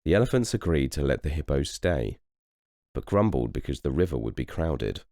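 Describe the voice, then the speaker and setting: A man narrates a picture book for children in an expressive way. His voice is extremely deep, with a lively melody, recorded close in a dry space. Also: moderate pace, dry recording. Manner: expressive storytelling. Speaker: storybook reader and host. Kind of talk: scripted children's story narration.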